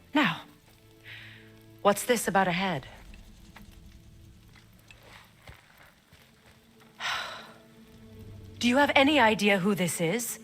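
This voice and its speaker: An actress playing a powerful, regal witch in a cinematic game, guarded and commanding in a firm, measured manner. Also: mid-range voice, expressive, fairly bright tone, soft background music.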